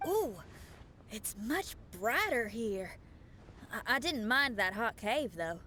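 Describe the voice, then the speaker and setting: A woman's voice: a little high, sing-song, slow pace, very noisy. A woman playing a friendly, easygoing young musician who is encouraging, in a video game. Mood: upbeat.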